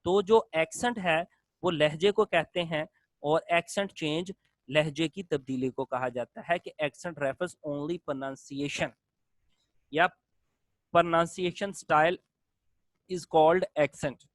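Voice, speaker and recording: A man's voice: fairly high pitch, slow pace, no room sound. A male lecturer explains a topic in a classroom style, repeating phrases in long lists and mixing in English terms.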